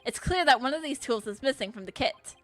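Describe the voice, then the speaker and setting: A woman's voice: high voice, expressive, brisk, fairly bright tone, faint music behind. A woman reading the lines of an earnest young male defense attorney from a courtroom game, explaining his case step by step. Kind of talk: reading game dialogue aloud.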